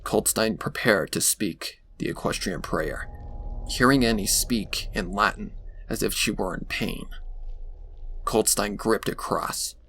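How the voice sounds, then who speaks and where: A man's voice: fairly low pitch, measured pace, vocal fry, fairly bright tone, a little hiss or hum. A man reading scripted fan fiction as a dramatic narrator. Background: background music.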